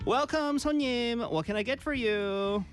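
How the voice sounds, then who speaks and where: A woman's voice: on the high side, drawn-out words, music in the background, pitched above the performer's own voice. A woman playing a friendly restaurant owner who greets and serves a customer. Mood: welcoming.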